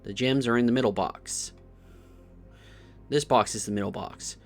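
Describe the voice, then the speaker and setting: A man streams a video game in a medium-pitched voice. He comments casually on his choices and reads game text aloud as he goes. Faint music plays behind him. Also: moderate pace. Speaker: gaming streamer.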